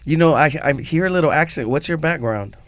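A man's voice: a little high, lively intonation, a little fast, no room sound. A man hosting a radio interview, upbeat and hyping up the guest, often turning to address the listeners directly. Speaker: radio host.

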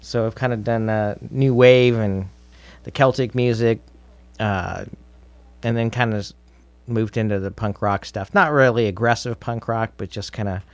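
Middle-aged male interview guest, lowish voice, dry sound, easygoing and halting storyteller. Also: fairly even intonation, no room sound. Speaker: interview guest, drummer in a band. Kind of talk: casual interview chat.

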